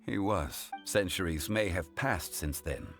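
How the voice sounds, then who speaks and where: A man voicing an ancient, dignified god in human form, speaking calmly and formally. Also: low voice, a little slow, creaky, faint music behind, exaggerated voice. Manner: calm, formal, reflective. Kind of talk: game cutscene dialogue.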